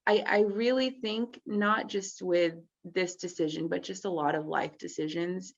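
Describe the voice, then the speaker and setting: A woman from Texas with a lowish voice talks through a casual interview, reflective and relaxed, leaning on "like" and "um". Her audio sounds dull and muffled, like a lo-fi recording. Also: a little slow, vocal fry. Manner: reflective, relaxed, many "like" and "um" fillers. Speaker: actress guest.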